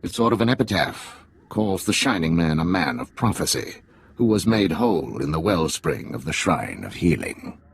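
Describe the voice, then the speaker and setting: A man playing a shrewd, wry undercover agent in a video game, with a gravelly, slightly husky voice and a measured, dry delivery. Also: low-pitched, big pitch swings, background noise, exaggerated voice. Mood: briefing, dry. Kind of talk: video game cutscene acting.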